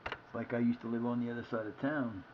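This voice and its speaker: A man's voice: medium pitch, steady tone, fast, dull audio, slight background noise. A man in his fifties from Ohio, rambling and correcting himself as he tells casual stories on a livestream. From Ohio, United States. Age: 50s. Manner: meandering, self-correcting, folksy. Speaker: livestream host.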